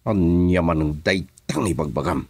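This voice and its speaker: An actor playing a gruff, guarded businessman boss who deals in shady trade, in a radio drama. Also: sits low, lively intonation, normal speed. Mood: defensive. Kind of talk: Ilocano radio drama acting.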